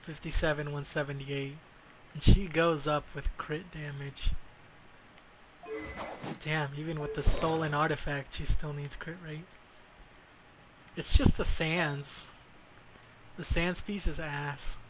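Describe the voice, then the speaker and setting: A fairly high-voiced man streams a game with clear noise behind him. He talks casually and bluntly, swearing freely and muttering his thoughts aloud. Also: little pitch movement, takes it slowly, pauses often, a bit bright. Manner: blunt, foul-mouthed, mutters to self. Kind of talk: casual gameplay commentary. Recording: clearly noisy background.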